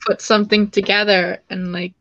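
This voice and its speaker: A young woman artist talking quickly and excitedly on a video call, often breaking into giggles. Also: medium pitch, no echo. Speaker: featured artist. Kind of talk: casual video-call chat. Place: home room indoors. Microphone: laptop webcam mic on video call. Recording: dull dry webcam audio.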